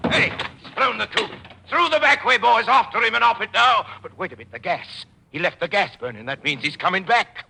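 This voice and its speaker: An actor playing a scheming, blustering old police detective in a classic radio play. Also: male voice, high voice, animated, brisk, gravelly, sharp tone, a little hiss or hum, exaggerated voice. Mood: barking, urgent. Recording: old radio recording, music behind.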